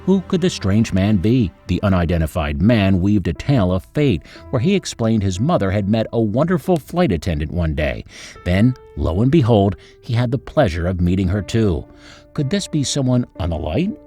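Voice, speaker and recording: A male storyteller reads a script in a suspenseful, upbeat way. His pitch sits on the low side but swings about in an animated manner. Music plays behind the narration. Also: moderate pace, breathy edge. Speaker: narrator and host of a story channel.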